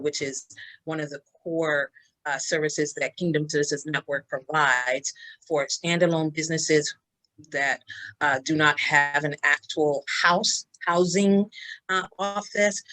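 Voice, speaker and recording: A woman from Barbados introduces herself in a friendly, rambling way, full of fillers. Her voice sits very low. It sounds dry and close to the mic. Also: sharp tone, no echo, poor audio. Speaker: guest, minister and business owner. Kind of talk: self-introduction in an interview.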